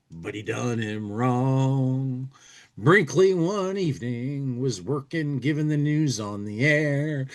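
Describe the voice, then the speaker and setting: A man co-hosting a comedy podcast, commenting, reading aloud and singing, often repeating words as he feels his way along. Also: mid-range voice. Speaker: podcast co-host.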